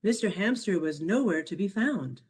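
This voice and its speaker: Expressive woman reading a children's storybook. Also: mid-range voice, husky. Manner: expressive, measured read-aloud delivery. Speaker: author reading own children's picture book. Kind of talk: scripted storybook narration for children.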